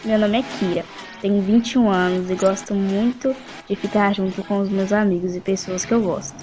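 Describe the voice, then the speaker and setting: Sociable young female reality contestant played by a woman, mellow mid-range voice, music underneath. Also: mid-range voice, fairly even intonation, normal speed, natural voice. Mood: friendly. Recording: music behind the voice.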